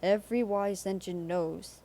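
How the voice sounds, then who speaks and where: A woman's voice: medium pitch, measured pace, warm tone. A woman narrating a children's storybook aloud from a script.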